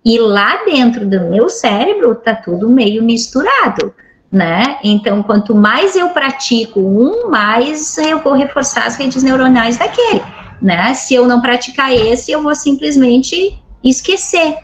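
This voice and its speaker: A woman's voice: fairly high pitch, rough recording. A middle-aged woman from Brazil giving an academic lecture over a video call, explaining patiently and checking in with frequent tag questions. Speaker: guest lecturer.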